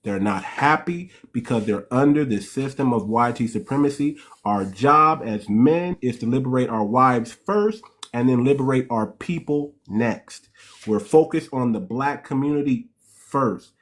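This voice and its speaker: A man's voice: medium pitch, measured pace. A man hosting a live call-in talk room, plain-spoken, moving from plugging merch to preaching. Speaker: host.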